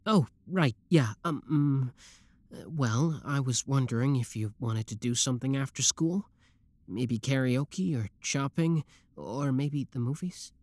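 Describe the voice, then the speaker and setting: A man's voice: medium pitch, unhurried, a little mellow, dry recording, close to an everyday voice. A man playing an obsessive, lovestruck young schoolboy villain in a roleplay audio drama, talking intimately to the listener. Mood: shy, hesitant. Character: shy schoolboy turned smug, obsessive yandere young villain.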